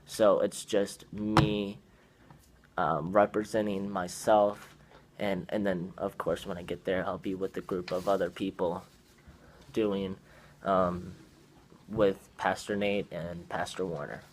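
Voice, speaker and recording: Hesitant young man, low voice. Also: steady tone, takes it slowly, slight background noise. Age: young adult. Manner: hesitant, many fillers and restarts. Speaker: young man asking for trip support. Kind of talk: casual conversation at a meeting.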